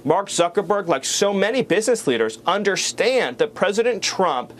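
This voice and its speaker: A man answers interview questions as a political spokesperson. His voice sits a little high. He talks rhetorically, piling up phrases and repeating words for emphasis. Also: very animated, normal speed, a bit bright, slight background noise.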